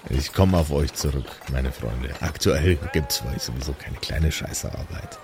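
A shady cleric, played by a man, speaks in a very low voice. He is smug and insinuating and talks like a streetwise fixer in an improvised audio drama. The recording has clear background noise. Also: normal speed. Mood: sly, threatening. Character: shady male cleric, smug, calling in favors.

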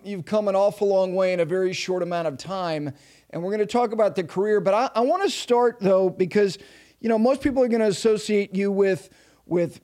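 High-voiced emphatic male host. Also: a little mellow. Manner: emphatic, halting restarts when asking questions. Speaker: podcast host. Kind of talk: interview hosting.

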